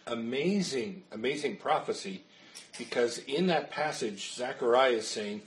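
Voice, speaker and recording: A man's voice: medium pitch, lively intonation, measured pace, a little hiss or hum. Pastor losing his voice. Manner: earnest, explanatory, answers questions. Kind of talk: Bible study teaching.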